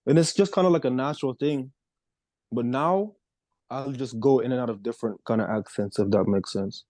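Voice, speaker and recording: A man's voice: medium pitch, fairly quick, a little mellow, no room sound. A young American man chatting casually as a podcast co-host, rambling with lots of fillers. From United States. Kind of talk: casual chat.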